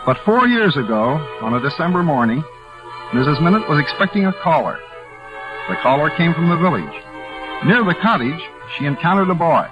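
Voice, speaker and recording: An actor playing a solemn, wistful storyteller who narrates a 1940s radio drama. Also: male voice, a little high, sing-song, normal speed. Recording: muffled vintage radio recording. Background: music.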